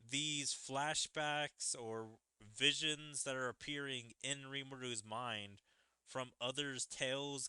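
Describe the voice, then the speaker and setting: A man giving casual game commentary as a streamer, musing in halting phrases and speaking into a close microphone. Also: mid-range voice, sharp tone, clear, resonant tone, no room sound. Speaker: gaming streamer. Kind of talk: casual game commentary, reading dialogue aloud. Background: game music.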